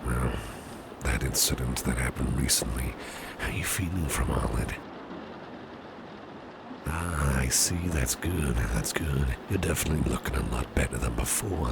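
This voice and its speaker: Man as a very deep, gravelly, soothing boss. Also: background noise. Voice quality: very deep, gravelly, often breathy and near-whispered. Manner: gentle, reassuring, unhurried, repeats phrases softly. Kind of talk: ASMR roleplay, soft personal attention.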